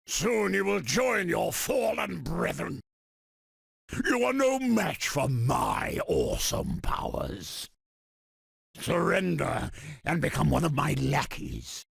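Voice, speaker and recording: An arrogant, armored supervillain tyrant from a video game. The voice is heavily gravelled and husky, with grandiose, commanding delivery that swings widely in pitch. Every phrase is declaimed with pompous self-importance. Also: male voice, sing-song, slow, dry recording, clean studio sound, heavily acted. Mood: triumphant menace. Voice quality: gravelly, rasping. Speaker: video game villain character. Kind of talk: theatrical video game villain voice clips.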